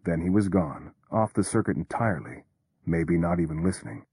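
A man with a deep, husky voice narrates a story in the first person. His delivery is measured and understated, never overplayed. The recording is close and dry. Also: lively intonation, darkish tone, dry recording.

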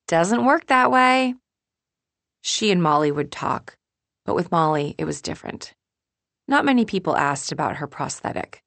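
A woman narrates first-person fiction in a low-pitched voice, recorded close and dry. She reads from a script as an audiobook narrator. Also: animated, normal speed, gravelly, no room sound.

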